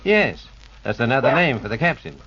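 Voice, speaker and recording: A man's voice: medium pitch, big pitch swings, a little fast. A man in a vintage radio play voicing a jolly, hearty ship's steward. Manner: hearty, chuckling. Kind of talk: 1940s radio acting. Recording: very noisy dull old radio recording.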